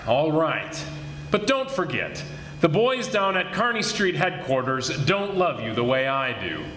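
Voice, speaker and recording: A man playing a suspicious, sardonic police detective with a soft spot, in 1940s radio mystery style. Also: fairly high pitch, big pitch swings, unhurried. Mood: grudgingly fond. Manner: pushy, sardonic. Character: suspicious, gruff but fond male police detective. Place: live stage radio theatre performance. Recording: echoey stage, faint background noise.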